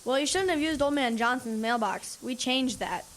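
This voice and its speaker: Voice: sits high, expressive, normal speed, a bit bright, slight background noise. A young performer playing a cocky, teasing boy in an audio drama. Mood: argumentative. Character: Nickerson, smug prankster boy.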